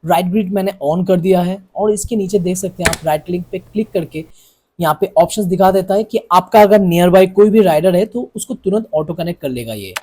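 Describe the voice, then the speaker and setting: A man's voice: high-pitched, brisk, mellow, slightly rough. A man with an Indian accent giving a casual, explanatory product review as a vlogger, often mixing in English phrases. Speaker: vlogger reviewing motorcycle gear.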